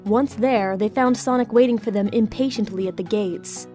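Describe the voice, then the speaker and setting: A woman's voice: mid-range voice, normal speed, dark tone, faint music behind. A woman reading scripted story narration for an audio drama.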